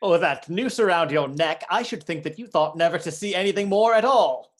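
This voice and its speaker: A man's voice: sits high, expressive, fairly quick. An actor playing a cheerful, teasing outlaw in a radio play, laughing as he talks. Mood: merry.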